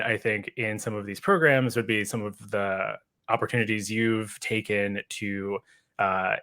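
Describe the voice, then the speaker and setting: A man's voice: on the low side, pushed and bright. A man hosting a podcast, interviewing a guest in a thoughtful, conversational way with tentative phrasing. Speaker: podcast host.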